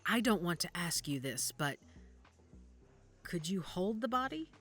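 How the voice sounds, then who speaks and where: A woman acting in an audio drama as a guarded, worried werewolf investigator who speaks gravely and deliberately. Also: on the low side, big pitch swings, fairly bright tone, full character voice. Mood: urgent. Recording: music under the dialogue.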